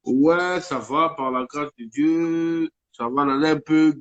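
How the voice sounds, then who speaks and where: A man's voice: on the high side, steady tone, takes it slowly, highs cut off. A man speaking French with a Congolese accent, talking casually as an interview guest while he has a head cold, rambling and mixing in Lingala words. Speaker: guest musician.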